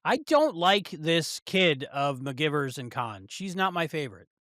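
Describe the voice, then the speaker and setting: A man's voice: on the high side, big pitch swings, a little slow, a bit bright, no echo, clean studio sound. A man co-hosting a podcast, talking casually as he discusses and reviews a show. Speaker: podcast co-host.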